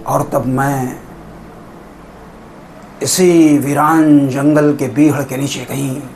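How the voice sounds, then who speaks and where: An actor playing a world-weary middle-aged king in a poetic, declamatory theatrical monologue with long dramatic pauses. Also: mid-range voice, fairly even intonation, vocal fry, a little mellow, a little hiss or hum. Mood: somber.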